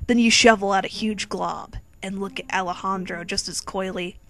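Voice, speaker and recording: A woman's voice: medium pitch, steady tone, normal speed, fairly bright tone. A woman giving a dramatic comic story reading as narrator, playful and wry, speaking straight to the listener. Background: faint music.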